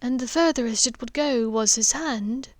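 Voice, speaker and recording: A thoughtful, curious male wizard speaks calmly and inquiringly, read by a woman. The pitch is fairly high and the pace slow. The recording is dry and close. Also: no room sound, close to an everyday voice.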